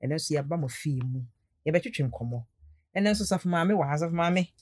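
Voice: mid-range voice, animated, moderate pace, a little mellow, no echo, clean studio sound. A radio talk show host, welcoming guests and often murmuring agreement. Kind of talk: casual radio chat.

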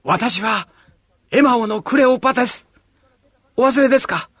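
A man's voice: extremely high pitch, normal speed, fairly bright tone, no echo, clean studio sound. A man playing an earnest, devout disciple in a radio drama, speaking emphatically. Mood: urgent pleading.

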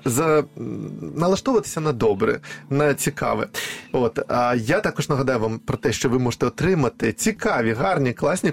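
Guy hosting a radio health chat, upbeat and encouraging, mid-pitched voice. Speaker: radio host. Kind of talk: conversational health talk show hosting.

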